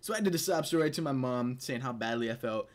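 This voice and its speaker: A man's voice: mid-range voice, animated, quick pace. A young man tells personal stories in a casual, crude, joking way, amused by his own jokes as a video host. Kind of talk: casual comedic personal storytelling.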